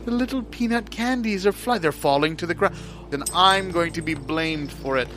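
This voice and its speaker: An actor playing an anxious man recounting a surreal dream in a dramatic, halting, repetitive way, in improvised audio theatre. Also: on the high side, music in the background, lightly acted. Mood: panicked. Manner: dramatic, halting, repeats himself.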